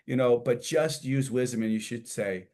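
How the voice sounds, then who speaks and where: A man's voice: medium pitch, moderate pace, darkish tone. A man teaching an informal church class, talking in a folksy, conversational way and acting out little quotes and images as he goes.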